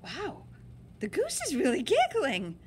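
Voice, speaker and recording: A woman's voice: high-pitched, wildly swooping pitch, measured pace, darkish tone. A woman reading a picture book aloud to children in a dramatic, emphatic and playful way. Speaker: story-time reader.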